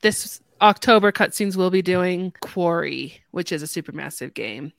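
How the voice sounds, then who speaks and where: A woman's voice: fairly low pitch, moderate pace, creaky, no echo. A woman hosting a casual podcast, chatting informally with the other speakers. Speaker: podcast host.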